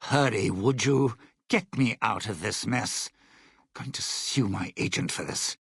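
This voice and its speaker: An actor voicing a suave, bitter, century-old lounge singer in a video game, a sardonic and scheming showman with a raspy voice. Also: lowish voice, measured pace, no echo, clean studio sound, heavily acted. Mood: taunting, menacing. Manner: theatrical, sardonic showman talking in stage metaphors.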